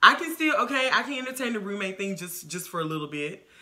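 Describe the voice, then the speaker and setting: A woman's voice: medium pitch, very animated, fast, pushed and bright. An American woman in her twenties chatting casually as a vodcast co-host, making her points emphatically. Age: 20s. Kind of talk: casual chat. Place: living room couch.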